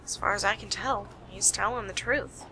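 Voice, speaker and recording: A woman's voice: medium pitch, moderate pace, crowd noise, close to an everyday voice, poor audio. A woman playing a keen, analytical amateur detective in an amateur radio play. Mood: thoughtful.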